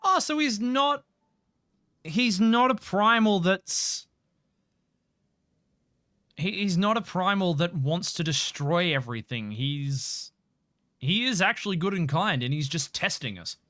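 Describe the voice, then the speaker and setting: Aussie guy streamer, highish bright voice, sweary casual chat over a game. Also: slow pace, long pauses, dry recording, studio quality. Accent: Australian. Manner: expressive, sweary. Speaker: livestreamer playing a game. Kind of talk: casual live game commentary, chatting with viewers.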